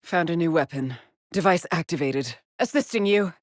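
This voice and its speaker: A weary, haunted woman astronaut from a video game, played by a woman. Her voice is low and very dry, close and without room sound. She speaks in clipped, terse phrases with a brooding edge. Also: animated, moderate pace, dry recording, lightly acted. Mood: terse, flat, then brisk, urgent. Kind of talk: scripted game character voice lines.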